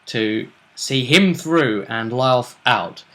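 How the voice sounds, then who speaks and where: Male vidcast host, medium-pitched, informal and joking, swears freely while talking through role-play game results. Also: lively intonation, drawn-out words, fairly bright tone. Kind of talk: casual chat recapping a role-play game tournament.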